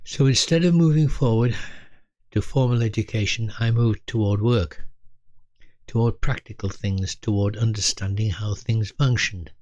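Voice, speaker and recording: An elderly man in his eighties narrates a reflective, scripted memoir in measured, deliberate short phrases. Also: fairly low pitch, a little mellow, no echo, muffled recording. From Britain. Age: 80s. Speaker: storyteller recalling his youth.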